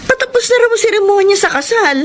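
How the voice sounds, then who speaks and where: High, animated, feisty young Filipina sister. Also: female voice. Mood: harried. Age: young adult. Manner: brisk, sassy, animated. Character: feisty, hardworking young sister, teasing breadwinner. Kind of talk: Filipino radio drama acting.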